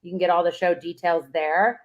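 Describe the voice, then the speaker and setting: A woman co-hosting a casual sports podcast, upbeat and giving shout-outs. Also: lowish voice, fairly even intonation, brisk. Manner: upbeat, gives shout-outs and sign-offs. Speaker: podcast co-host. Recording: dull lo-fi sound.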